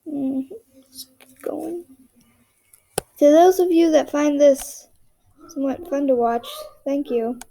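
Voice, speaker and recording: Monotone, gravelly-voiced young woman. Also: high-pitched, takes it slowly, long pauses. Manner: monotone, rambling, self-deprecating. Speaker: drawing tutorial host. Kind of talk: casual drawing tutorial narration.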